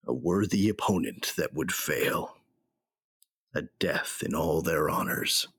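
A man's voice: extremely low pitch, slow pace, fairly bright tone, breathy edge, dry recording, clean studio sound, exaggerated voice. An actor playing a grieving, hard-drinking bounty hunter who narrates his story in a subdued, weary, reflective way in an audio drama. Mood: grim, mournful. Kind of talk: first-person audio drama narration and dialogue.

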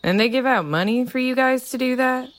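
A woman's voice: sits high, brisk, close to an everyday voice. Woman acting a blunt, skeptical small-town local. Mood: skeptical, teasing. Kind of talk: naturalistic audio drama dialogue.

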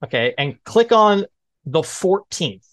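A man in his thirties hosting a sports podcast, chatting casually in an animated way. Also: high-pitched, slow pace, dry recording. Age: 30s. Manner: animated, mimics exclaimed reactions. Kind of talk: casual sports debate chat.

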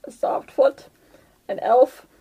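A woman's voice: takes it slowly, phone-quality sound, slight background noise. A woman casually improvising as game master in a tabletop role-playing session.

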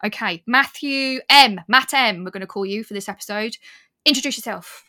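A woman's voice: on the high side, moderate pace, sharp tone. A British woman hosting a podcast, bubbly and enthusiastic as she chats with guests. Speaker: podcast host. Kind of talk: lively podcast chat.

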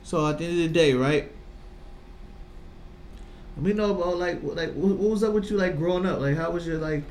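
An American man with a slightly high voice talks in a reverberant room with faint noise behind him. He is in his thirties and chats like a casual podcast host. His speech is slangy, and he often repeats a phrase to drive it home. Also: brisk, a little mellow. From Virginia, United States. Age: 30s. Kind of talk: casual chat. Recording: echoey room, audible background noise.

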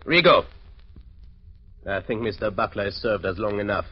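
A man's voice: lowish voice, lively intonation, normal speed, husky. A man playing a menacing doctor and criminal ringleader in an old radio drama, with formal, silky mockery. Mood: threatening. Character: suave, menacing male doctor, sabotage ringleader. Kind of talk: 1940s radio drama acting. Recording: muffled, hissy old radio recording.

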